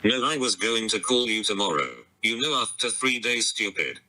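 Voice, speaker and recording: A man's voice: lowish voice, moderate pace, poor audio. A text-to-speech male voice playing a caller who mocks and insults the man on the line. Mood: taunting. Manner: flat insults, mocking. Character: insulting male robotic phone voice. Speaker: sting caller persona on a phone call.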